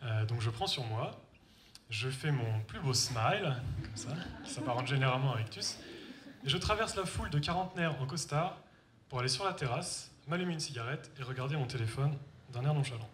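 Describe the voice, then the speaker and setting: A man's voice: mid-range voice, animated, fairly quick, fairly bright tone. A young man from France telling a comic personal story on stage, wry and self-mocking, talking straight to the audience. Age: young adult. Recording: echoey hall with faint noise.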